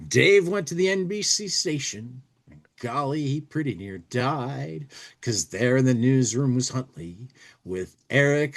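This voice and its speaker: Guy podcast cohost, slow pace, medium pitch, stumbles over repeats, does readings and little tunes. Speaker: podcast co-host. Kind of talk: commentary, dramatic reading and singing.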